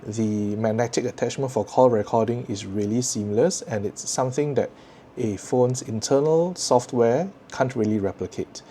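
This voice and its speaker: A man with a Singaporean accent presenting a scripted tech product review to viewers in a measured, articulate way. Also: mid-range voice, mellow, a little hiss or hum.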